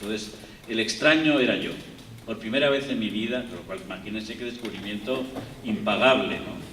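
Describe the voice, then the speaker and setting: Spanish-accented man, low voice, rambling lecture, distant mic, roomy hall, faint room noise. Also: moderate pace, a bit bright. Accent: Spanish (Spain). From Spain. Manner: rambling, digressive, many fillers and restarts. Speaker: guest lecturer. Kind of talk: university lecture.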